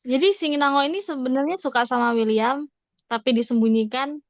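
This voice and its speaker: An Indonesian woman chatting casually as a podcast co-host, asking questions in a halting way and repeating her words. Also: high-pitched, dry recording, dull audio. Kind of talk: casual chat.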